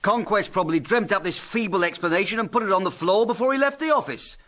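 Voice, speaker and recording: High, officious British police inspector. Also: male voice, fast, a bit bright. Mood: indignant, scornful. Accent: British English. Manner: stiff, indignant. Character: jittery, officious, sceptical male police inspector. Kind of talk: vintage detective audio drama acting.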